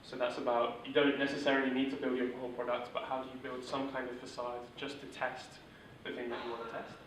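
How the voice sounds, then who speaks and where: A man leading a startup workshop, explaining principles in an informal, steady way with fillers. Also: mid-range voice, fast, noisy background. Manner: steady, monotone delivery with filler words. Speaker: workshop instructor. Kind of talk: informal teaching talk. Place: large echoey room. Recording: echoey room from far off.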